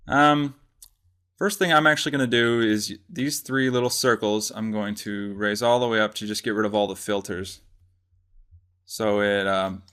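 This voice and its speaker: A man presenting a casual software tutorial, explaining step by step in an informal way. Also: fairly low pitch.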